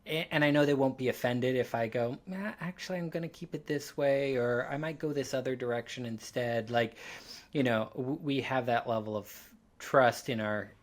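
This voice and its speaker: A man co-hosting a podcast, discussing writing in a casual, reflective way with earnest, measured phrasing. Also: mid-range voice. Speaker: podcast co-host and writer.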